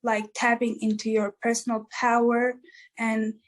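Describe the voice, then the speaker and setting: A woman's voice: on the high side, flat delivery, measured pace. A woman from East Africa, a musician guest, answering interview questions in an earnest, motivational way with lots of "like" fillers.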